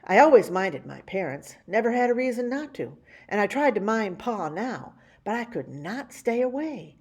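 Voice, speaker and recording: Animated woman reading a children's story, slightly husky. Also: mid-range voice. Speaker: storytime reader. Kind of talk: expressive read-aloud of a children's picture book.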